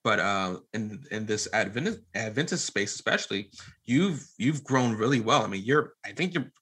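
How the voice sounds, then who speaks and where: A man in casual podcast conversation, talking in a halting, rambling way and often restarting his phrases. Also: lowish voice, lively intonation, a bit bright, breathy edge. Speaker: podcast guest and media producer. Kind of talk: casual conversational interview talk.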